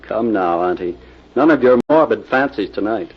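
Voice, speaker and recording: An actor playing a spendthrift young gambler nephew, polite yet sullen and guarded, in a 1940s radio drama. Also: male voice, lowish voice, lively intonation, normal speed, husky. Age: young adult. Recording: muffled old radio recording with hiss.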